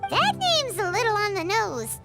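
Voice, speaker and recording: A woman voicing a small, childlike companion in a video game, perky, excitable and bluntly cheeky. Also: very high-pitched, moderate pace, loud music behind the voice, exaggerated voice. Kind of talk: cartoonish video game character acting.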